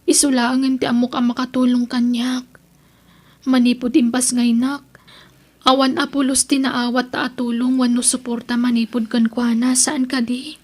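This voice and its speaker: A woman's voice: on the high side, level tone, normal speed, natural voice. A woman with an Ilocano accent playing an earnest, pleading teenage daughter who longs for acceptance in a radio drama. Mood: wistful.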